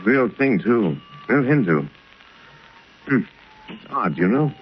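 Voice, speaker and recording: An actor playing a suave, wealthy, urbane dilettante in a 1930s radio drama. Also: male voice, medium pitch, big pitch swings, faint music behind. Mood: urbane, relaxed. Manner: urbane, unhurried, genteel. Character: suave wealthy male dilettante, secretly a crime fighter. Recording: noisy, muffled 1930s radio recording.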